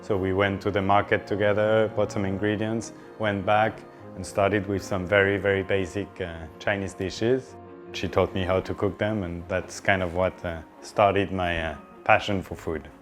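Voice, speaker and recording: A middle-aged man from northern France with a French accent, a chef speaking informally in an interview in a halting, explanatory way with pauses mid-phrase. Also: low-pitched.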